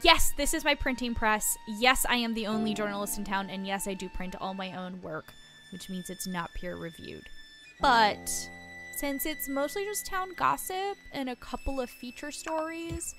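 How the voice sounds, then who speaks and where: A woman's voice: fairly high pitch, normal speed, music in the background, close to an everyday voice. A woman playing a chatty, friendly small-town reporter who rambles and interrupts herself in a tabletop story game. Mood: welcoming, rambling.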